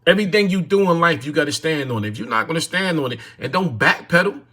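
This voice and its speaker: A man nearing fifty, speaking into his phone on a casual livestream. His voice is bright and forceful with a husky edge. He talks in a repetitive, sermon-like way and greets viewers in the chat by name. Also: a little high, expressive, fast. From North New Jersey, USA. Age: 40s. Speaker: livestream host.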